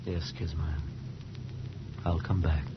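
A man's voice: a bass voice, level tone, slow pace. An actor playing a young American man who tells of his escape in a tense, dramatic 1940s radio style. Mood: weary, subdued. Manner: dramatic, suspenseful. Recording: muffled 1940s radio recording with music.

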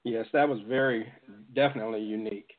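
A man's voice: lowish voice, fairly even intonation, fast. Casual male interviewer, dull lo-fi sound. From southern United States. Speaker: interviewer, show host. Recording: band-limited, muffled call audio.

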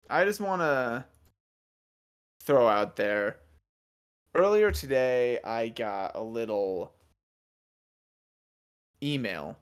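A man co-hosting a casual gaming podcast, joking around and swearing freely, rambling with lots of fillers. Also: mid-range voice. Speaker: podcast co-host.

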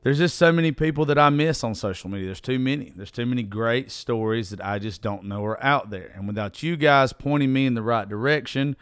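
A man's voice: mid-range voice, brisk, hardly any pauses, clear, resonant tone, no room sound, clean studio sound. A man with a Southern US accent hosts a podcast solo in a casual, rambling, folksy and profane way, his voice strained from recently losing it. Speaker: podcast host.

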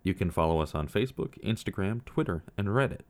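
A young man narrates his podcast from a script, thoughtful and earnest as he poses question after question. His voice sits fairly low for a man. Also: lively intonation, fast.

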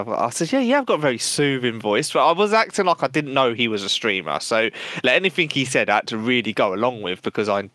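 A man's voice: mid-range voice, a bit bright, no echo. A man co-hosting a gaming podcast, telling stories casually with frequent swearing and laughter. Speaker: podcast co-host.

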